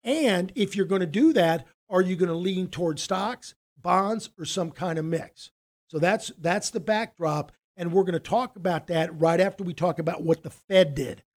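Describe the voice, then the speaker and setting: A man's voice: sits high, moderate pace. A man hosting a casual finance podcast, talking loosely and bluntly as he sets up the topic and hands over to his co-host.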